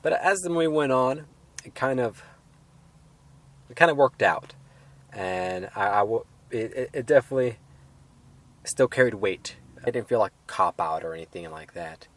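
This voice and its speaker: A man reviewing a film in a casual, unscripted way, halting and rambling as he hedges his points. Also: mid-range voice, lively intonation.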